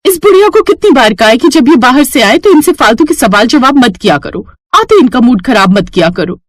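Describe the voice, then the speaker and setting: Woman acting a shrill, bossy young daughter-in-law. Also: big pitch swings, fairly quick, dry recording. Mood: scornful. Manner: shrill, loud, scornful.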